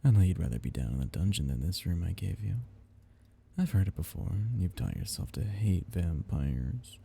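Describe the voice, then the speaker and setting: A man playing a seductive, possessive vampire captor in an intimate ASMR roleplay, teasing and condescendingly tender in a breathy, gravelly murmur. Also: sits low, warm tone, heavily acted. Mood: smug, possessive.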